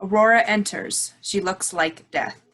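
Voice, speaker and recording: A woman's voice: mid-range voice, a little slow, a bit bright. A woman reading stage directions as scripted narration, with slight noise in the recording.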